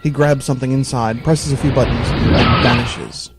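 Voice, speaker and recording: A male narrator with a medium-pitched voice tells an audio drama. He reads deliberately and with suspense, over clearly audible music. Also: steady tone. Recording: music bed behind the narration.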